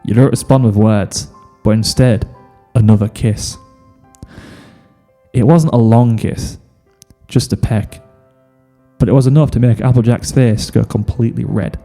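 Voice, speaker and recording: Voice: slow, mellow male story narrator. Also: mid-range voice, steady tone, in a large hall, faint music behind. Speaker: fan fiction reader, narrator. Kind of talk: scripted second-person story narration.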